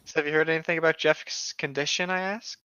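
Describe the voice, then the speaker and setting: A mid-pitched, forceful voice reads aloud in a casual, joking style. The speaker is irreverent and keeps drifting into riffs and asides. The recording is dry and a little muffled. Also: fairly even intonation, fast talker, clear, resonant tone, no echo. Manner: irreverent, riffing, breaks off into asides. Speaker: co-host of a creepypasta read-along.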